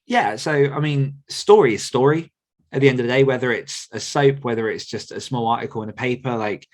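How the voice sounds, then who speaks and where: A man's voice: medium pitch, brisk. A man, hosting a podcast and answering listener questions in casual, rambling chat. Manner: relaxed, rambling with fillers and restarts, laughs often.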